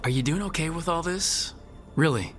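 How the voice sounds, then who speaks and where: A man's voice: fairly high pitch, moderate pace, lightly acted. Resolute, wry hero man played by an actor. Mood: warm, wry. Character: resolute young male hero leader, wry and caring. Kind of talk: video game voice acting. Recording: clear music behind the voice.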